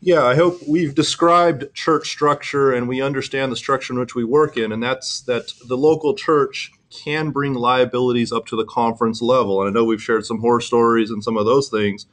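Man, middle-aged, webinar presenter, mid-pitched, earnest, explanatory, halting restarts. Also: steady tone, fairly bright tone. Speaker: insurance executive presenting a webinar.

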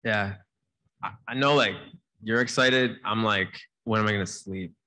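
A man's voice: lowish voice, measured pace. A man in his thirties on a conference panel, talking casually and energetically into a handheld mic. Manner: casual, energetic, uses "like" often. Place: conference stage. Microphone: handheld microphone.

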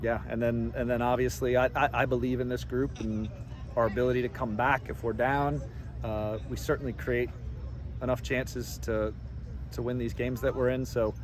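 Middle-aged coach at an outdoor postgame presser. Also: male voice, mid-range voice, little pitch movement, a little hiss or hum. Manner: measured, repeats words while thinking. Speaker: head soccer coach. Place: outdoors by a stadium track at night.

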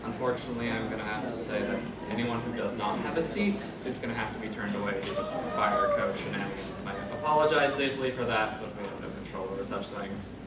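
A man's voice: lowish voice, fast talker, over a noisy crowd. A man moderating a panel, making a polite, apologetic announcement to a convention audience. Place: convention panel room on handheld PA mic, filmed from afar. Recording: echoey room, far mic, loud noise.

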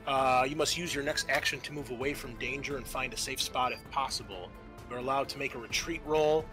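A man narrating a tabletop roleplaying game as game master, casually, pausing as he goes and reading out rules. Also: mid-range voice, music in the background.

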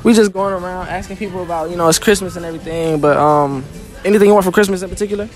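A man's voice: high-pitched, rapid-fire. A young man hosting casual street interviews, slangy and teasing in the way he talks. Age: young adult. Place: indoor public shopping mall. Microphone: phone held near the mouth. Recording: busy mall noise, background music.

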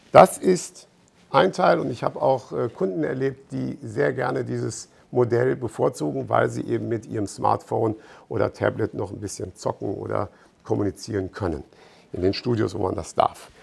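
Very gravelly middle-aged man. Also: medium pitch, darkish tone. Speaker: fitness expert presenter. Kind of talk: informal product explanation to camera. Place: bright gym showroom indoors with large windows.

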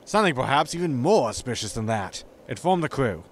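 Exasperated space captain , male actor, audio sitcom. Dry, scolding, sarcastic, lively intonation over faint ambient noise. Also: medium pitch, brisk. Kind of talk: sci-fi sitcom audio drama acting.

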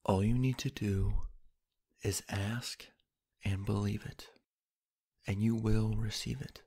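A man softly narrating a sleep hypnosis meditation in a soothing, hushed, breathy voice with long, unhurried pauses. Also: lowish voice, steady tone.

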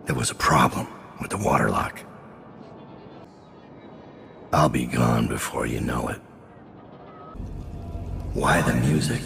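An actor playing a middle-aged, world-weary assassin leader in a video game, grim, measured and dryly threatening, with a gravelly, very deep voice. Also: male voice, fairly bright tone, long reverb tail, slight background noise, full character voice. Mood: grim, businesslike. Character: gravelly, world-weary, menacing master assassin leading a gang. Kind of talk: video game character acting.

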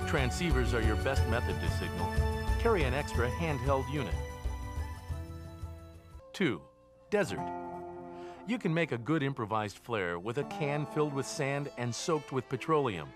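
A man reading scripted instructional narration for a training film in a measured, didactic way. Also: mid-range voice. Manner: measured, didactic, enumerating points. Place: studio voice-over.

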